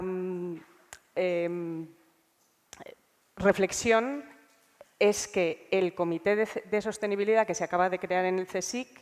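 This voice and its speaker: A woman's voice: lowish voice, clear, resonant tone. A woman with a Spanish accent from Spain, congested with a cold, gives informal panel remarks, hesitantly thinking aloud. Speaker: research scientist, panelist. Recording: reverberant hall sound.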